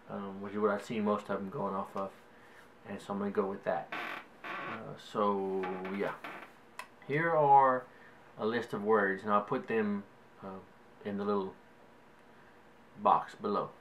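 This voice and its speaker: A man's voice: fairly low pitch, steady tone, measured pace, fairly bright tone, a little hiss or hum, poor audio. A young man from South Louisiana with a Cajun accent, rambling casually through a word list in a vlog, with lots of "like" and "um". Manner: rambling, hedging with "like" and "um". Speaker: vlogger.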